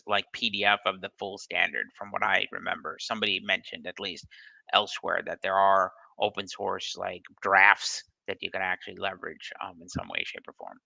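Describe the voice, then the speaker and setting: A man's voice: low-pitched, vocal fry, bright, forceful tone, no room sound, low-quality recording. A man on a video call answering questions in a casual live session, hesitant, with many ums and restarts. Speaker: study group presenter answering questions.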